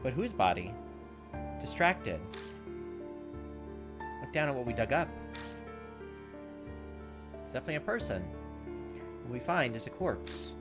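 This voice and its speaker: A young man plays through a story game, reading it out with some drama. He drops into informal commentary between passages. His pitch is average for a man, and music plays clearly behind him. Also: sing-song, unhurried, pauses often. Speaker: let's player reading a visual novel aloud. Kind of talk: game narration with casual asides. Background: video game music.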